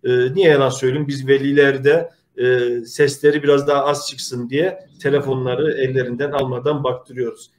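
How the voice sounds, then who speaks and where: A middle-aged man, hosting an online community show in an earnest, halting, polite way. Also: mid-range voice, little pitch movement, slight background noise. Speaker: host of a community video show. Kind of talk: informal presenting to an online audience.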